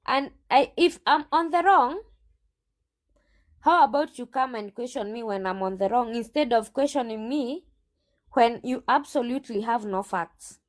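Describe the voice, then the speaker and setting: Kenyan lady, high voice, agent in an interview, pushes back hard and repeats her points. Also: animated, normal speed. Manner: assertive, emphatic, repeats herself. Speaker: recruitment agent being interviewed. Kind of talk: combative interview answers.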